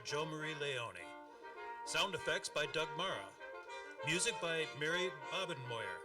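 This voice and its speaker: A man reading a scripted credits announcement as the announcer of a radio production. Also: fairly high pitch. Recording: slightly distant voice under music. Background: music.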